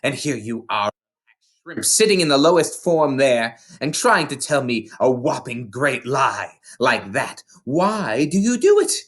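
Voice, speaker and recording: A reader voicing a bullying, contemptuous older headmistress from a storybook, scolding with heavy, drawn-out emphasis. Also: mid-range voice, big pitch swings, pitched above the performer's own voice. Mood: angry, accusing. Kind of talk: storybook character voice in a read-aloud.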